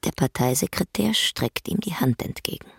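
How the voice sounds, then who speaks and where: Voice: mid-range voice, animated, moderate pace, creaky, fairly bright tone, a little hiss or hum. A narrator reading out the scenes and stage directions of a play in scripted narration.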